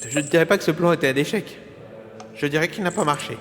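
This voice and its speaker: An actor playing a scatterbrained, defensive schemer with mock-earnest pedantry in a comedic audio drama. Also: male voice, on the high side, fast talker, fairly bright tone, natural voice. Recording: music bed behind the voice.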